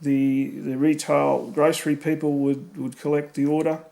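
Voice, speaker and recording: A man's voice: on the high side, flat delivery, normal speed, creaky, mellow. A middle-aged Australian man casually reminiscing about family, rambling with fillers and leading questions. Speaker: family member recording memories.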